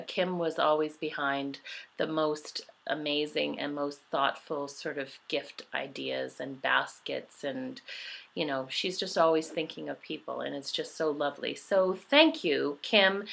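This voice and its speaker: A middle-aged woman from Western Canada chatting warmly about skincare products, gushing, with many fillers and restarts. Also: sits low. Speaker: beauty vlogger. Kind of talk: casual product review.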